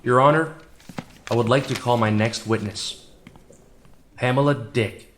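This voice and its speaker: A man's voice: mid-range voice, fairly even intonation, a little slow, a little hiss or hum, lightly acted. Man acting a probing courtroom lawyer. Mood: probing, formal. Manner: formal questioning. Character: male trial lawyer, probing, formal, skeptical. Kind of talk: courtroom audio drama.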